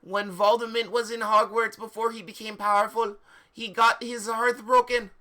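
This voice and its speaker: A high, sharp-voiced witch professor from a fan fiction story, played by a man. She is melodramatic and urgent, delivering prophecies with theatrical solemnity. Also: little pitch movement, moderate pace. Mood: solemn, commanding. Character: melodramatic female witch professor and fortune-teller.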